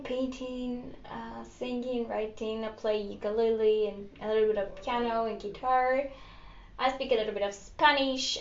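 A woman's voice: high voice, a bit bright. Upbeat Greek-accented young woman hosting a solo podcast, chatty and giggly, recorded in an echoey room. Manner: rambling, upbeat, giggly, with many fillers. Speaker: podcast host. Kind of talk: casual solo podcast chat. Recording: echoey room, slightly dull lo-fi sound.

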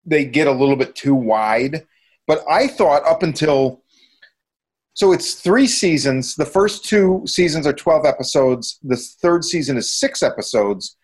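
A man with a slightly high voice chats as a podcast co-host. His delivery is informal and opinionated, thinking out loud in a casual discussion. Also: expressive, measured pace, breathy edge.

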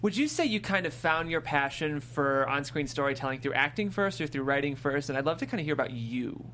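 Higher-pitched male interviewer over noisy hum. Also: lively intonation, fairly quick, fairly bright tone. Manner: chatty, filler-heavy, roundabout phrasing. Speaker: interviewer, show host. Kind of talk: casual interview questions. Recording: clearly noisy background hum.

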